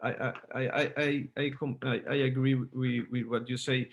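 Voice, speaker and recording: Halting man. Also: mid-range voice, little pitch movement, vocal fry. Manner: stumbling, repeats words while searching for phrasing. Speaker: interviewer, host. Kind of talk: conversational interview.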